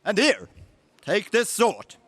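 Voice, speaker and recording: A man's voice: sits high, wildly swooping pitch, takes it slowly, fairly bright tone, slight background noise, exaggerated voice. An actor playing a boisterous, grandiose king of hawk people in a space serial radio play. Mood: solemn, proud. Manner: grandiose, declamatory.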